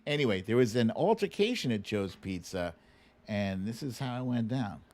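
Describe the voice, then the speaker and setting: A man's voice: medium pitch, lively intonation, a little slow, heavy background noise. An American man hosting a live show with casual, joking commentary, speaking informally to his viewers. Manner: informal, joking, laughs easily. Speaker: host of a live web show.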